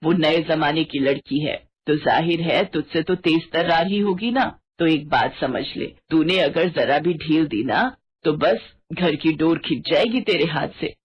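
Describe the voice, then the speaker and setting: Woman as meddling neighbourhood auntie, middle-aged, husky, needling warnings, cartoon story, muffled recording. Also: low-pitched, measured pace, hardly any pauses. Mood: sly warning. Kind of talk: Hindi storytelling cartoon drama. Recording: dull lo-fi audio.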